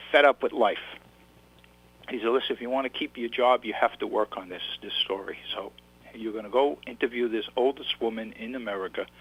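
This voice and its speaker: A man's voice: medium pitch, a bit bright, breathy edge, low-quality recording. A man with a New York accent, an author telling his story in a casual interview, rambling and restarting his sentences. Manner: rambling, repeats words and restarts.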